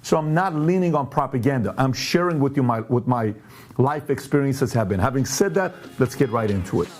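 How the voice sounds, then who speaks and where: Animated man hosting a commentary show. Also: medium pitch, fairly quick, soft background music. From Iran. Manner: questioning, emphatic, animated. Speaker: show host. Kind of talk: explainer commentary walking through charts.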